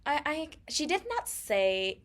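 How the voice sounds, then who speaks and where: A woman's voice: high voice, big pitch swings, slow. Thai-born woman, chatty podcast co-host. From Thailand. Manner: frequent fillers and self-corrections, laughs easily. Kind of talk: casual conversational storytelling.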